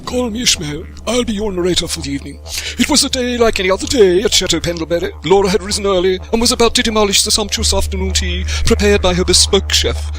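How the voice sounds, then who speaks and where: A man's voice: sits high, fast, noisy background, close to an everyday voice. A man playing a self-important literary narrator of a comic audio adventure. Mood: self-important, brisk. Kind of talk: scripted narration.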